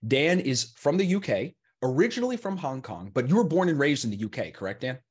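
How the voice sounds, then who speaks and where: A man's voice: mid-range voice, very animated, fairly quick, husky. A man in his thirties hosting a casual podcast interview, upbeat and chatty, calling his guest bro, on a close studio mic at home. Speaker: podcast host. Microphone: close red studio mic, over-ear headphones.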